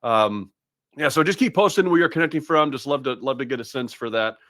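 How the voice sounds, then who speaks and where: Casting: friendly American male webinar host, mid pitch, dry close sound, filler-heavy chat. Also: animated, a little fast, a bit bright, dry recording. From Massachusetts, USA. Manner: chatty, upbeat, lots of fillers and restarts. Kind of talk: live webinar presentation.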